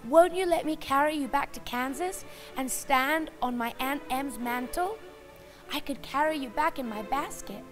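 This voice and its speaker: A woman's voice: high-pitched, normal speed, over music, natural voice. A woman voicing a kind, earnest little girl traveller in a storybook, sweet and sincere. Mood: admiring.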